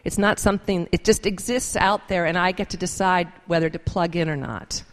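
A woman's voice: on the low side, steady tone, a little fast. A woman giving an earnest, informal personal testimony to a gathering, thinking aloud as she speaks in a large reverberant room. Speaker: speaker at a recovery meeting. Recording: reverberant hall.

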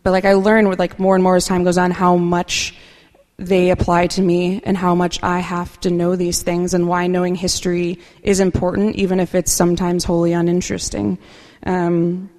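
A woman speaking softly and unhurriedly at a recovery meeting, sharing her own experience with many fillers. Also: lowish voice, monotone, normal speed, big-room sound. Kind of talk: personal share at a meeting.

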